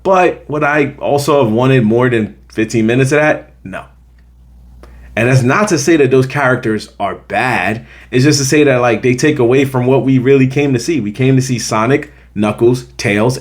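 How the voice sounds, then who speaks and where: A man's voice: medium pitch, moderate pace. An American man hosting a film review, talking casually and emphatically, repeating his points to drive them home. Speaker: film review show host. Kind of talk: casual opinionated movie review to camera.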